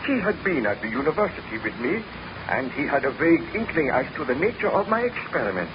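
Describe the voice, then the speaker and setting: An actor playing an exhausted, obsessed young baron scientist with a grave, theatrical, formal delivery in 1930s radio drama style. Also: male voice, fairly high pitch, sing-song, quick pace. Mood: brooding, confessional. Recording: noisy, muffled vintage 1930s radio recording.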